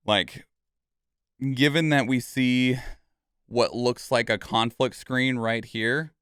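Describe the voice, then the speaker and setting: A man's voice: medium pitch, extremely slow, pauses often, fairly bright tone, clear, resonant tone, dry recording, clean studio sound. A man giving casual live reaction commentary as a streamer, thinking aloud in a rambling, conversational way. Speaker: streamer and reaction video host.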